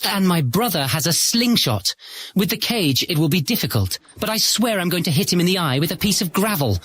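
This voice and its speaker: A man acts out a mischievous young apprentice in a fantasy audio tale. The voice is pitched high and swoops excitedly, full of boastful glee. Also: fairly quick, breathy edge, exaggerated voice. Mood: gleeful bragging.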